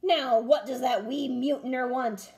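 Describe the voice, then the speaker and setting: A woman's voice: high voice, unhurried, gravelly, a little mellow. A woman voicing a blustering, bossy male pirate captain in a storybook, hollering in pirate dialect. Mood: bellowing.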